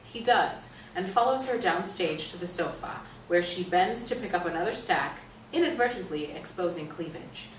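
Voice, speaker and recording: A woman's voice: on the low side, expressive, normal speed, hardly any pauses. A woman narrating stage directions as a scripted reading for a play. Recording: roomy, distant stage recording, faint noise.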